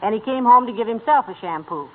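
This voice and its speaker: A sensible housewife, tinny vintage radio. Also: female voice, on the high side, lively intonation, fast. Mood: chatty, amused. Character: friendly, sensible middle-aged housewife, patient and hospitable. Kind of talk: 1940s radio comedy acting. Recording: narrow-band old radio recording.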